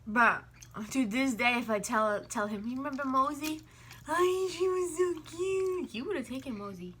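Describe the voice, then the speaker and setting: Female vlog co-host from Finland, bright forward voice, casual animated storyteller, faint noise. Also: high voice, normal speed. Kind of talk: casual chat.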